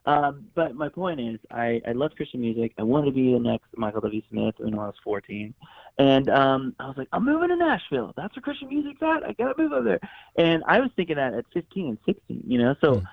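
Gravelly-voiced man on a tinny line. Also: medium pitch, big pitch swings, a little fast, dry recording. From Florida, USA. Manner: rambling, self-correcting, laughs easily. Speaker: guest songwriter and producer. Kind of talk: casual interview chat. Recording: dry, phone-like line.

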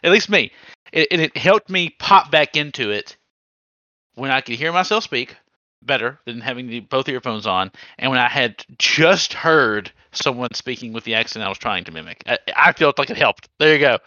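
A man's voice: medium pitch, lively intonation, normal speed, sharp tone, no room sound, lo-fi sound. A man co-hosting a podcast, rambling and joking as he gives advice in casual chat. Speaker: podcast co-host.

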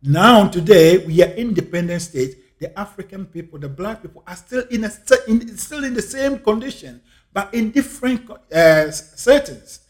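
Earnest high-voiced Ghanaian man. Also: animated, normal speed. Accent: Ghanaian English. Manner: earnest, repeats key phrases for emphasis. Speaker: speaker on life and scripture. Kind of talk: reflective monologue.

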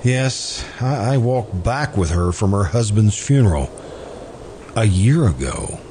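An actor playing a mild, courteous, lovelorn murderer in an American radio drama, speaking haltingly and politely. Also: male voice, on the low side, lively intonation. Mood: polite, calm. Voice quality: hesitant, halting delivery. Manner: polite, deferential, quietly stubborn. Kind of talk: old-time radio drama acting. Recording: amateur radio recording, clearly noisy background.

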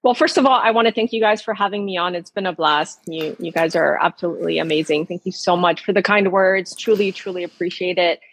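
A woman's voice: on the low side, fairly quick, few pauses, a bit bright, no room sound. A woman talking casually as an interview guest, a cookbook author answering questions in a conversational way.

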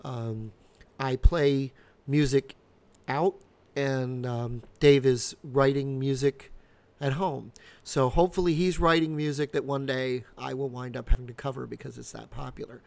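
A man in his fifties co-hosting a podcast, explaining things casually in a measured, halting way. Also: medium pitch, steady tone, gravelly, a little mellow. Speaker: podcast co-host.

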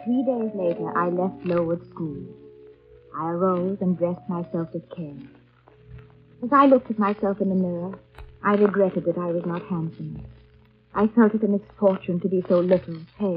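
An actress playing a grave, reserved young woman, narrating her life in measured 1930s radio drama style. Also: fairly low pitch, lightly acted. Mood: measured, reflective. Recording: muffled vintage 1930s radio recording. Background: music.

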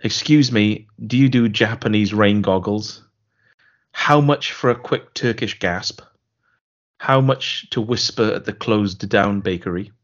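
A man co-hosting a podcast, chatting casually and reading out a listener email with a dry, deadpan delivery. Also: on the low side, measured pace. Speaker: podcast co-host. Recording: dull lo-fi podcast audio.

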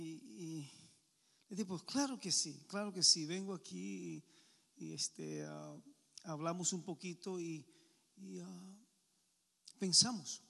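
Puerto Rican male preacher, high bright voice, playful banter with the room, long hall reverb. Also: gravelly. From Puerto Rico. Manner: joking, chatty, asks the crowd questions. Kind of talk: informal talk to a men's meeting.

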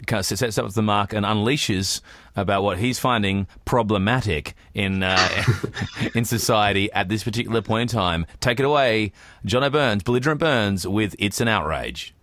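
Australian male podcast host, lowish voice, blunt sarcastic banter, very dry recording. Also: normal speed, a bit bright, no echo. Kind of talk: casual banter.

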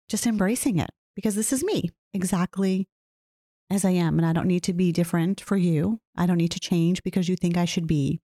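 A woman talking earnestly and reflectively about her own experiences, often putting questions to the listener. She describes her own voice as low. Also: mellow, no room sound, studio quality. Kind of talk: reflective personal talk.

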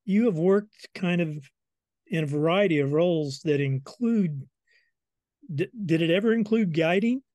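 Host: American man, slightly high register, asks conversational questions, very dry recording without room echo. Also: unhurried, darkish tone. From Southern Illinois, United States. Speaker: interviewer, host. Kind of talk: conversational interviewing.

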